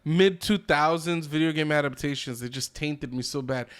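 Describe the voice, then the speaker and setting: A man's voice: on the high side, moderate pace, a bit bright, clear, resonant tone. A man co-hosting a podcast, chatting casually about games and films in an opinionated, freely swearing way. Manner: opinionated, profane. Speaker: podcast co-host.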